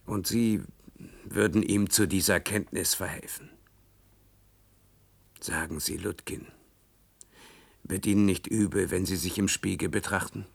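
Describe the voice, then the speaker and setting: Radio drama: principled male academic, low voice, very dry acoustic, ironic and reproachful. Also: steady tone, unhurried, gravelly, long pauses, dry recording, heavily acted. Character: principled, sardonic male university colleague. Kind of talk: German radio play acting.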